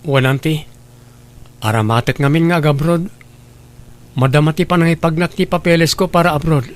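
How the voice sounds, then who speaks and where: A man's voice: on the high side, normal speed, close to an everyday voice. A man playing a respectful, hesitant younger brother in a radio drama. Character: hesitant, dutiful unmarried younger brother, easily persuaded. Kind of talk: Ilocano radio drama acting.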